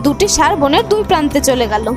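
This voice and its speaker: A woman's voice: high-pitched, expressive, fast, music in the background. A woman narrating a children's moral tale as its storyteller.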